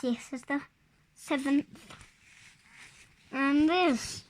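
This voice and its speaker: A five-year-old child with a high voice reads a storybook aloud. The reading is very slow and halting, taken a word or two at a time, as an early reader does.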